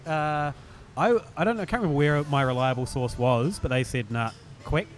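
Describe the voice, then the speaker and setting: A man's voice: a little high, fairly quick, faint music behind. A man with a New Zealand accent chatting informally as a podcast host, self-deprecating and happy to swear. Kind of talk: casual podcast chat.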